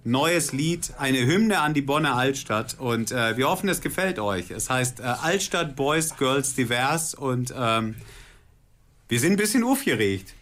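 A man's voice: medium pitch, animated, unhurried, fairly bright tone. A man chatting casually and jokingly on the radio as a guest band member, sometimes in a mock-solemn way. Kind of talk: casual tipsy radio chat. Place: radio studio. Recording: clear background noise.

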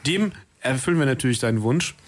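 Fast-talking man in casual radio banter. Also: mid-range voice, lively intonation. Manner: joking, tongue-in-cheek. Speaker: band member being interviewed. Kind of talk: casual radio studio chat.

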